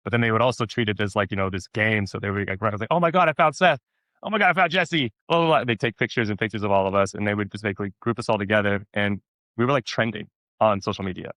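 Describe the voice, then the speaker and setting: Male actor as interview guest: low voice, rapid delivery, animated storytelling, close dry sound. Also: no echo. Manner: animated storyteller, repeats words for emphasis and acts out quotes. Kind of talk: casual interview chat.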